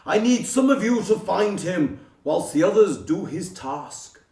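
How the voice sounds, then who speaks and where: A man voicing a booming, urgent figure who calls wizards to a rescue in a storybook tale. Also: lively intonation, a little slow, creaky, darkish tone, full character voice. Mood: urgent, commanding. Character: booming commanding voice summoning wizards to rescue Santa. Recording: roomy, distant from the microphone.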